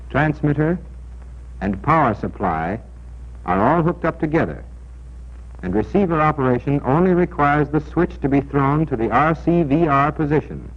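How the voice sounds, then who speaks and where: Dull 1940s film recording, emphatic American male narrator. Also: on the high side. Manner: didactic, deliberate, emphatic. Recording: dull, band-limited 1940s film soundtrack.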